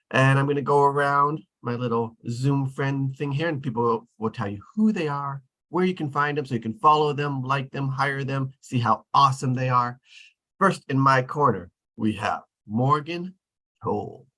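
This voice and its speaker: A man's voice: mid-range voice, normal speed, bright, forceful tone. A man hosting an online get-together on a video call, introducing guests in casual chat. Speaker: host.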